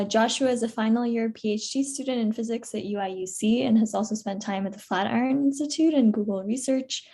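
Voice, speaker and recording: A woman's voice: medium pitch, warm tone. A woman hosting an online seminar, giving a brief, friendly introduction of the speaker over a video call. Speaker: host.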